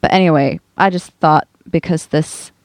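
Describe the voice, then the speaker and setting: A woman's voice: deep voice, moderate pace, dry recording. A woman from Sweden chatting informally in a studio, hesitant and thinking aloud as she goes. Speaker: guest singer. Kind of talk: casual studio chat.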